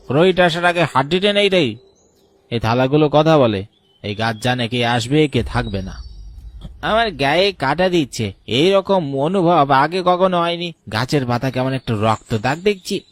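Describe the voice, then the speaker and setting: A man playing an uneasy, superstitious villager in an animated horror story, speaking colloquially. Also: on the high side, moderate pace, natural voice. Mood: alarmed, suspicious. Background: music bed.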